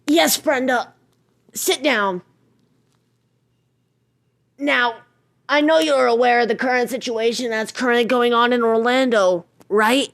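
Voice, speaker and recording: A high-voiced, impatient president of the United States played by a woman in an amateur audio drama. The voice creaks noticeably, and the figure is bossy and pressed for time. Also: a little slow, long pauses, a bit bright. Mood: alarmed, commanding. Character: impatient, pleading male American president.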